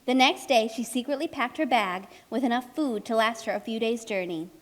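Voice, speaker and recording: High-pitched woman, anxious meddling narrator as acted, expressive, emphatic, children's puppet show storytelling. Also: moderate pace, natural voice.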